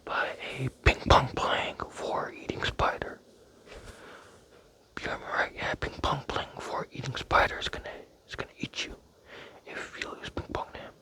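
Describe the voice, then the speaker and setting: A man's voice: moderate pace, sharp tone. A young man rambling playfully in a close-miked ASMR whisper, with many fillers. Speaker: ASMR creator.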